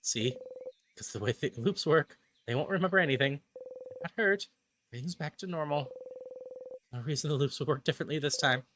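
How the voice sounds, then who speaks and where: A man's voice: fairly high pitch, a little fast, pauses often, a bit bright, slight background noise, lightly acted. A man playing a panicked young traveler trapped in time loops, pleading and repeating words obsessively in a dramatic video game voice-over. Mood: anxious self-soothing.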